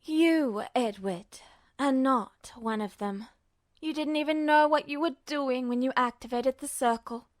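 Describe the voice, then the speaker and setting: A woman performs an inhuman, all-powerful entity from a fantasy audio story. It drawls with icy condescension and calm menace, taking its time over each taunt. The sound is dry and close. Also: high voice, unhurried, no room sound. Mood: scornful. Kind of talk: audio fiction reading.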